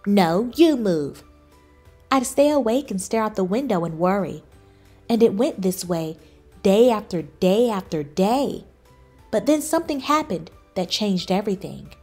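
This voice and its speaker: A woman reading a children's book aloud in expressive storybook narration. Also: medium pitch, moderate pace, soft background music. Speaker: children's book reader, channel host.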